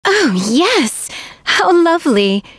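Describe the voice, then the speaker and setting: A woman's voice: very high voice, big pitch swings, slow pace, no echo, clean studio sound, exaggerated voice. A woman voicing a polite, earnest young princess warrior in an anime game. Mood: warm, earnest.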